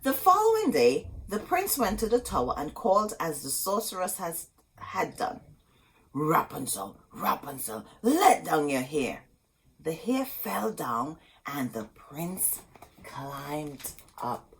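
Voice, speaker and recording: Slow, very animated woman storyteller, husky voice. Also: lowish voice. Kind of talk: expressive storybook read-aloud.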